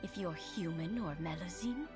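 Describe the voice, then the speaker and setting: A woman voicing a kindly old lady in a video game, speaking in a measured, tender and grateful way. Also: on the low side, over loud music, lightly acted. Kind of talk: scripted video game dialogue.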